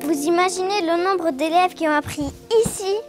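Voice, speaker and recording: A woman with a high-pitched voice presents informally to the camera. Music is clearly heard behind her. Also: normal speed.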